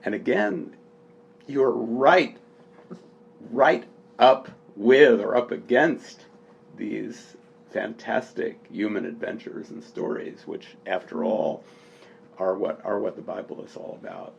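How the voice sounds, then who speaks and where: A man with a strong vocal fry talks thoughtfully about literature, unscripted and pausing as he builds his phrases. The recording is muffled and lo-fi, with faint noise behind him. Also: fairly low pitch. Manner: halting, deliberate, thoughtful phrasing. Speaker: speaker discussing a book at a literary event. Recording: dull, lo-fi sound with faint noise.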